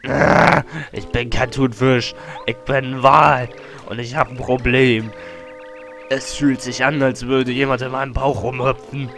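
Man doing a whiny loud whale from a game, offended and moaning. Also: medium pitch, flat delivery, a little slow, gravelly. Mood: indignant. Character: big complaining male whale with a bellyache. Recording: faint game music behind.

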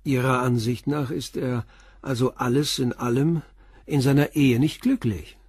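Probing, composed police commissioner. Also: male voice, medium pitch, fairly even intonation, a little mellow, breathy edge, no room sound, clean studio sound. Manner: probing, measured questioning. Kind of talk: German crime radio play dialogue.

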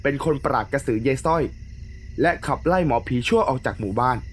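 A man voicing an earnest, concerned, plain-spoken male character in an animated ghost story. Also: mid-range voice, a little fast, slightly rough, soft background music.

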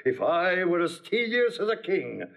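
An actor playing a bumbling, self-important old constable who mangles words, in a pompous, rambling Shakespearean comedy style. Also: male voice, high voice, sing-song, moderate pace, gravelly, fairly bright tone, heavily acted. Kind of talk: classical Shakespeare stage comedy acting.

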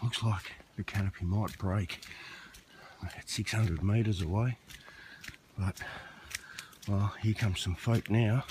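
A man's voice: fairly low pitch, steady tone, slow, long pauses, noisy background. A man chatting casually to camera while walking a rainforest track, a little out of breath. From Australia. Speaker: vlogger. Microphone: handheld camera.

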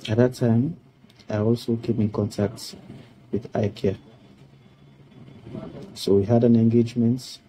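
Slow, dark-voiced man on a video call. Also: mid-range voice, steady tone, a little hiss or hum, poor audio. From Sierra Leone. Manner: slow, measured, formal delivery. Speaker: project participant giving a testimonial. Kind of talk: prepared testimonial speech. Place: indoor room. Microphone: webcam on a video call.

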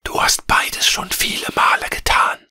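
A man voicing a gently knowing male air spirit in a fairy-tale audiobook, speaking in a soft, breathy whisper. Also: moderate pace, no echo, studio quality. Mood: knowing, mysterious.

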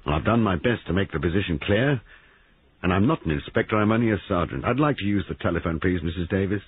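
Police sergeant, done by a male narrator, storybook lawman, curt, dry, severe, husky voice, narrow-band sound. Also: low-pitched, lively intonation, fast, voice pushed up. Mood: acid disapproval.

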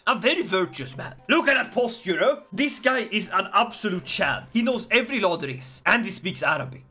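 A bright, lively voice commentates on a video game in a playful, jokey way. The pitch moves around a lot, with exclamations in reaction to the play. Music plays behind the voice. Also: breathy edge. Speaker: gaming YouTuber commentator. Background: background music.

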